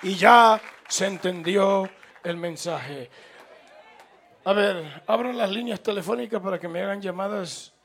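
Radio preacher, man, high gravelly voice, emphatic and repetitive. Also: unhurried, a bit bright, a faint crowd. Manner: fervent and emphatic, with repetition and rising exhortation. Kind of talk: evangelical sermon.

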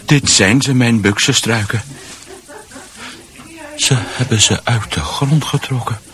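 A man's voice: mid-range voice, fairly bright tone. An actor in a Dutch radio drama playing a confused, deranged man who mutters, halts and repeats himself. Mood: obsessive muttering. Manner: muttering, repetitive, halting. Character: deranged, confused man returning to his ransacked house.

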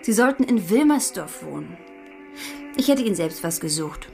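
A woman's voice: on the low side, expressive, normal speed, creaky, a little mellow, faint music behind. An actress playing an eager, forthright eighteen-year-old schoolgirl, familiar and a little bossy, in a radio drama. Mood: inquisitive, bossy. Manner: direct, eager, quizzing, familiar.

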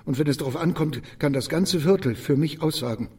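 A man acting a suspicious, secretive loner afraid of arrest in a radio drama, uneasy, halting and over-insistent. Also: on the high side, darkish tone, slightly rough. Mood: furtive, wary. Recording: distant from the microphone.

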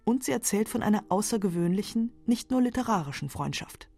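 A woman's voice: mid-range voice, expressive, brisk, gravelly, darkish tone. A woman narrating a radio feature from a script. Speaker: documentary narrator.

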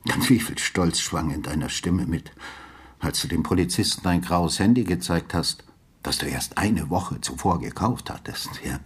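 A haunted old-school detective narrates a radio drama in a low, heavily husky voice, recorded close and dry. His delivery is deliberate and brooding, with a sardonic edge. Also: no echo. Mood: bitter, accusing. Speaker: narrator and lead actor. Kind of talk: literary radio drama narration with inner monologue.

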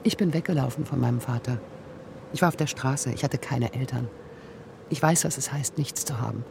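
A woman's voice: rumbling low, brisk. An actress playing a needy, insistent, moneyed older woman in a radio drama, urgent and coaxing. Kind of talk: modern German radio drama acting. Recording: clearly noisy ambient background.

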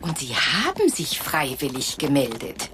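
A woman's voice: extremely low pitch, huge pitch swings, unhurried, noisy background, full character voice. An actress playing a teasing, haughty businesswoman in a German radio drama, drawling with theatrical amusement. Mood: smug, confiding. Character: teasing, haughty rich businesswoman pulling the strings.

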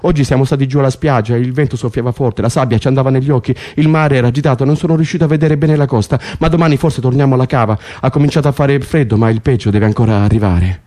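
An actor playing an excitable, teasing male political prisoner in a radio play, insistent and often repeating himself. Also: mid-range voice, fast, hardly any pauses, natural voice. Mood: earnest, longing. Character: John, excitable joking male political prisoner in South Africa. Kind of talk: naturalistic Italian stage-style radio acting.

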